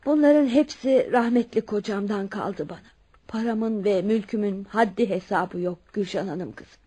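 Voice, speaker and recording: Husky, dignified elderly widow played by an actress. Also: female voice, medium pitch, normal speed, dark tone, close to an everyday voice. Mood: earnest, resolute. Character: wealthy kindly widow near seventy, frank, resolute. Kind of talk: Turkish radio theatre acting.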